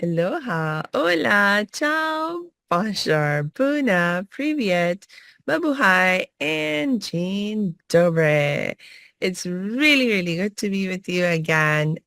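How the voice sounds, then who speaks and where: Slow, low-voiced woman host. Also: vocal fry, a bit bright, no echo. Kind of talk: interview hosting.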